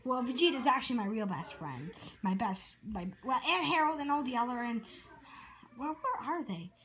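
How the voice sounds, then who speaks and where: A woman's voice: a little high, animated, moderate pace, fairly bright tone, rough recording. A woman voicing a needy, earnest young boy who rambles dramatically in a homemade cartoon skit. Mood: rambling, earnest.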